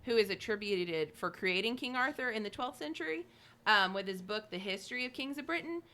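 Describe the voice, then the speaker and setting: A woman's voice: medium pitch, expressive, fairly quick, bright, forceful tone. A woman co-hosting a podcast, reading from notes with chatty, informal asides and some stumbles over names. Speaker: podcast co-host.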